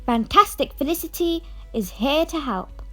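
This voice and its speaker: A woman's voice: sits high, lively intonation, normal speed, bright, forceful tone, soft background music. A female reader voicing an eccentric, kindly older fairy godmother in a storybook, airy and fondly bossy. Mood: brisk, kindly. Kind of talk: storybook character voice.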